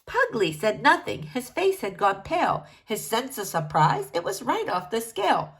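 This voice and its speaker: A woman reading a rhyming children's story aloud in an expressive narrating style. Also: on the high side, normal speed. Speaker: storybook reader.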